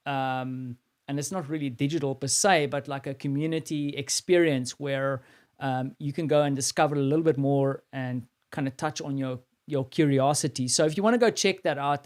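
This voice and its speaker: Man, South African accent, live-stream host, rambling casual talk, fairly high pitch. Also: a little mellow. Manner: rambling, many fillers and restarts.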